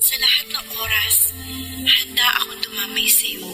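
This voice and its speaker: A woman's voice: a little high, normal speed, over loud music, lightly acted. An actress playing a devoted, hurt young girlfriend in a radio drama, earnest and imploring. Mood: concerned, hurt.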